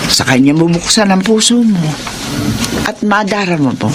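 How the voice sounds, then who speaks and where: An actress in a radio drama playing an older mother giving calm, steadying counsel to her daughter-in-law. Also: mid-range voice, normal speed, lightly acted. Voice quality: calm advising manner. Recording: noisy radio recording.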